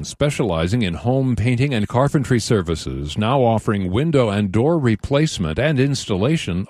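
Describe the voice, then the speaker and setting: A man's voice: mid-range voice, darkish tone, no echo, clean studio sound. A man with an American accent reading a scripted sponsor message as a radio announcer. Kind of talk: scripted radio underwriting read.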